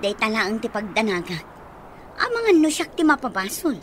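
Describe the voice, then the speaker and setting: An actress playing a grumbling, worried guardian who is hard on children, in a radio drama. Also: female voice, a little high, sing-song, moderate pace, a little hiss or hum. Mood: irritated, worried. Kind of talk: Ilocano radio drama acting.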